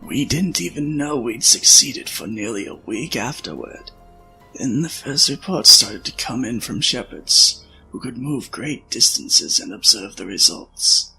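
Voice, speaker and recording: A worn old male scientist played by a man speaks with a very bright, cutting edge and a gravelly creak. He is reflective and deliberate, explaining things to a friend in a sci-fi audio drama. Music plays underneath. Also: mid-range voice, expressive, exaggerated voice, poor audio. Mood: wistful, grave.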